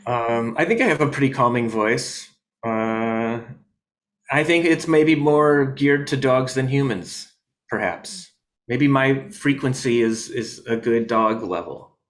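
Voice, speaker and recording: A man as a comedian guest in a casual video-call interview, rambling with deadpan jokes and many fillers. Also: medium pitch, slow. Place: his home in New York.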